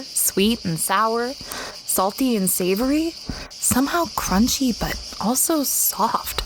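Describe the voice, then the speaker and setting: An expressive young woman explorer, played by an actress in an audio drama, recounting her expedition in the first person. Her voice sits at a middle pitch. Clear insect ambience runs behind her. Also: noisy background, lightly acted. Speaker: narrator and lead character.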